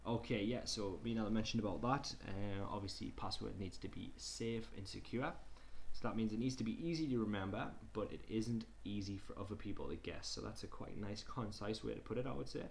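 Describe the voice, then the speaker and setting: A man with a fairly low voice leads a relaxed online lesson. He reads from slides and adds his own comments, hesitating and doubling back on phrases. There is clear noise behind him. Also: fairly quick, few pauses. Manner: hesitant, conversational, repeats himself. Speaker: tutor presenting a digital skills session.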